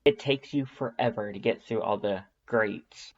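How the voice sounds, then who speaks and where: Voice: medium pitch, unhurried. A narrator reading scripted second-person fan fiction as an audiobook. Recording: slightly dull, band-limited audio.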